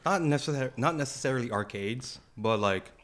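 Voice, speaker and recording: A man in casual podcast chat, telling anecdotes with a very lively, swooping intonation. His pitch is average, and his talk is informal and slangy, often doubling back on phrases. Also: normal speed. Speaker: podcast co-host.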